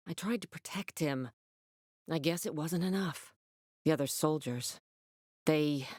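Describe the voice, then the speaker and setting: A woman's voice: sits low, no echo, studio quality. A woman playing a tough, commanding, foul-mouthed military officer in a video game, with a clipped, no-nonsense delivery.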